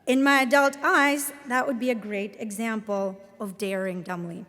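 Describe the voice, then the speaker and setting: A woman in her thirties with a Latvian accent, giving a formal commencement address in a measured, earnest, deliberate way. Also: a little high, fairly even intonation, fairly bright tone. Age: 30s. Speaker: commencement speaker, professor. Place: large ceremony hall. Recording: reverberant hall sound.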